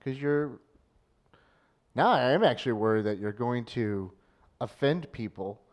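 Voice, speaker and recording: Teasing podcast co-host, lively voice. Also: male voice, mid-range voice, a little slow, dull audio. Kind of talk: casual comedic banter.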